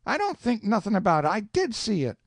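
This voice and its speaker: Man putting on a squeaky stubborn country kid, all worked up, dry mic. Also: wildly swooping pitch, a little fast, no room sound, full character voice. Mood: indignant. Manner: indignant, rustic boyish dialect. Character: boy, stubborn and indignant.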